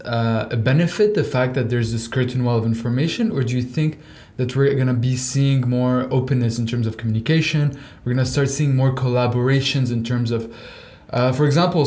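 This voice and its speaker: A man's voice: medium pitch, darkish tone. A young man from Lebanon hosting a video-call interview, asking long, rambling questions with restarts. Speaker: podcast host. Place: home room with windows.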